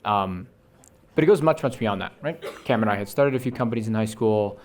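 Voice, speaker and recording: Young male founder, rapid enthusiastic pitch on a conference stage, keeps checking in with "right?". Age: young adult. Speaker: startup founder on a panel. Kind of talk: fast, enthusiastic pitch talk. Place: conference stage before an audience.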